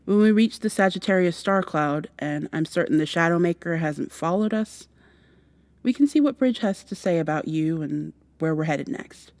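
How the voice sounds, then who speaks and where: Actress as earnest female time traveler for ASMR drama: mellow, close and dry, protective, slightly flustered and long-winded. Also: lowish voice, animated, moderate pace, no echo. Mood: earnest, explaining. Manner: hesitant, rambling, gently reassuring, some whispering. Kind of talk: ASMR roleplay, softly acted monologue to the listener. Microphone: close binaural microphone.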